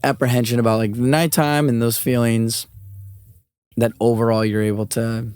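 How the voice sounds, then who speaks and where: Raspy podcast host, rambling questions. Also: medium pitch, level tone, moderate pace, no echo. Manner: warm backchannels, long rambling questions. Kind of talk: casual interview questions.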